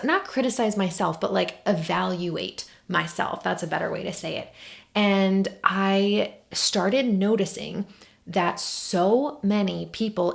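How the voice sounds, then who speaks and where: Young woman in her twenties, casual solo podcast host, chatty and candid, a touch of vocal fry. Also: fairly low pitch. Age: 20s. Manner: chatty and candid, lots of "like" and "oh my gosh" asides. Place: at home by a window.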